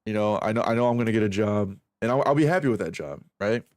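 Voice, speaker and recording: A man's voice: on the low side, expressive, quick pace, darkish tone, no room sound, studio quality. A man in his twenties streaming late at night, rambling casually and profanely about practice, pressure and backup plans. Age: 20s. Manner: rambling, profane, self-reflective, restarts a lot. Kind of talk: casual stream chat while aim training.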